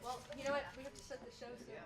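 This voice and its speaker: A woman playing a bashful, flustered young woman, chatting casually in an awkward, halting way. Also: high voice, level tone, close to an everyday voice. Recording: distant echoey hall with loud crowd.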